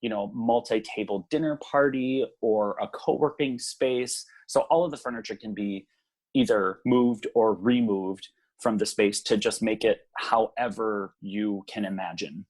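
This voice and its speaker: Husky-voiced laid-back man, low pitch. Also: a little slow. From Hawaii. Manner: relaxed, many ums, laughs easily. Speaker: venue owner, guest. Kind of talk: casual interview chat.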